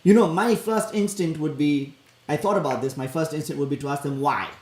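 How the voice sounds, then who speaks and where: A man's voice: on the high side, a little fast, a little mellow. A man co-hosting a casual talk show, speaking in a thoughtful, hesitant way with many fillers. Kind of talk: casual chat. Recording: echoey room.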